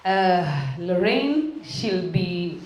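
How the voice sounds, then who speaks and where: A woman's voice: on the low side, sing-song, extremely slow, a little mellow. A woman with a Kenyan accent, hosting an event and introducing the next speaker while urging the crowd to applaud. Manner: upbeat, urges the crowd to applaud. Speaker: event host. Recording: very echoey hall with background noise.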